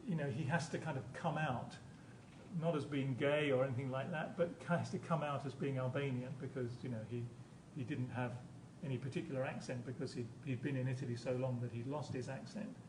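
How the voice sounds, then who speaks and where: A man's voice: mid-range voice, steady tone, brisk. Echoey, distant male academic lecturer. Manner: hesitant, repeats words and phrases while explaining. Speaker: university professor lecturing. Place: lecture room at a conference. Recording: echoey room, distant mic, background noise.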